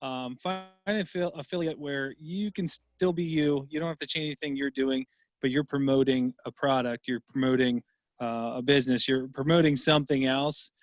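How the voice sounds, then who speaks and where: A man's voice: mid-range voice, fairly even intonation, moderate pace, no echo. Regular guy, mid voice, lots of ums, giving advice, dry close sound. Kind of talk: casual chat.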